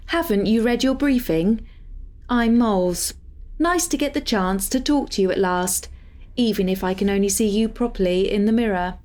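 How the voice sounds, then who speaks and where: A woman's voice: mid-range voice, moderate pace, a little mellow, natural voice. A woman playing a cheeky, chatty artificial intelligence in a sci-fi audio drama, with a breezy, teasing manner. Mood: friendly, teasing. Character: cheeky, chatty female artificial intelligence hacker sharing a body.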